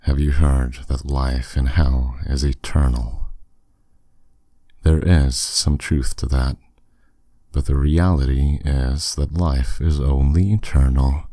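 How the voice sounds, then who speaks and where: Guy doing a super low dark demon voice, slow and dominant, teasing asmr roleplay, close dry mic. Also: steady tone, dry recording, heavily acted. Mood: calm, matter-of-fact. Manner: smooth, condescending, unhurried, with endearments. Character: powerful male demon, suave dominant captor, teasing and courteous.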